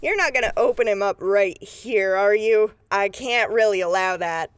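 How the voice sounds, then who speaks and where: A woman's voice: mid-range voice, normal speed, smooth, no echo, close to an everyday voice. A woman acting a confident deputy in a post-apocalyptic audio drama, with a casual, needling, folksy way of talking. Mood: casual, firm. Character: confident, folksy female deputy, teasing but firm.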